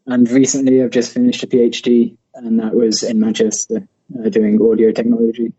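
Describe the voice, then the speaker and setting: An English man in his thirties with a West Midlands accent, a guest telling a podcast host about a cycling journey in a relaxed, thoughtful way, over a remote call. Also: medium pitch, fairly quick, slightly rough. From England. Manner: relaxed, thoughtful, some hesitations. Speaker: guest, cycle tourer. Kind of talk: casual interview talk. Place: remote call while travelling. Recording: muffled lo-fi call audio.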